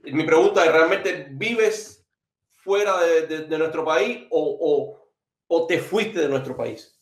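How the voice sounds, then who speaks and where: A man interviewing a guest, asking drawn-out, hesitant questions. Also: on the high side, reverberant. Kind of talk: conversational interview.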